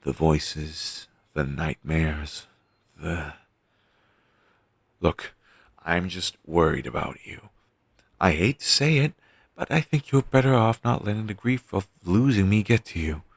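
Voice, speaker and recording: A man playing a weary, caring undead best friend in an intimate audio roleplay, talking casually and earnestly with halting phrases. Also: low-pitched, creaky, husky, no echo, studio quality, heavily acted. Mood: worried, gentle. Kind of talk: audio roleplay, intimate one-sided dialogue.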